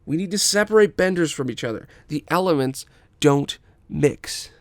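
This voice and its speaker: Hostile young-fantasy-script bully, acted by a man: sneering bigot, animated, dry sound. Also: a little high, normal speed, no echo. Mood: disgusted. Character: hostile, bigoted male firebender street bully. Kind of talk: scripted table read.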